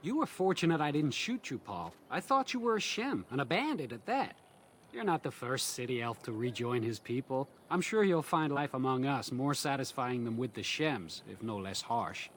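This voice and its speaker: A man's voice: medium pitch, sing-song, normal speed, slight background noise, close to an everyday voice. An actor playing a proud, assured male elf hunter, stern but welcoming, in video game dialogue. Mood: wry, welcoming. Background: game music.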